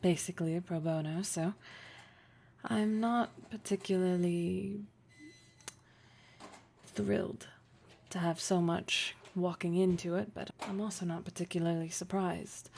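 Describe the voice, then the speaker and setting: Casting: actress as commanding female executive for roleplay audio, formal, flirtatious, condescending; gravelly fry, unhurried delivery. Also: on the low side, darkish tone. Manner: formal, measured, condescending, teasing, with deliberate pauses. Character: poised, flirtatious, domineering woman boss and startup investor. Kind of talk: roleplay audio drama addressed to the listener.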